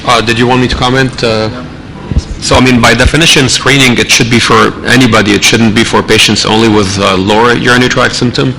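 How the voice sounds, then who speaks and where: Male professor on a panel, low flat gravelly voice with a bright edge, fluent and reasoned. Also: fast, a little hiss or hum, rough recording. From Lebanon and the United States. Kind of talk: conference panel discussion.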